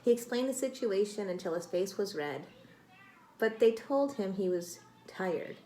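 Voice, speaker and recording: A woman reading a picture book aloud to children like a teacher, expressive and dramatic, with exclamations and prompting questions. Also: medium pitch, a little slow, slight background noise. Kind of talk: read-aloud storytelling with questions to young listeners.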